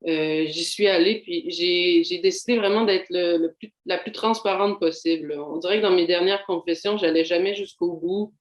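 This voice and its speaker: Young adult Québécoise woman, candid and halting testimony, lo-fi echoey call sound. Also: fairly low pitch, fairly even intonation. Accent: Québécois French. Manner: candid, reflective, halting with frequent fillers. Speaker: doctoral student giving a faith testimony. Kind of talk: personal testimony in a video seminar.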